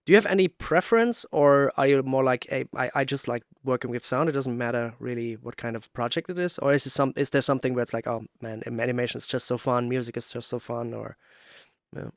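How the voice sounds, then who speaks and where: A man's voice: medium pitch, quick pace, no echo, clean studio sound. A man hosting a podcast interview in a casual, rambling and hesitant but enthusiastic way.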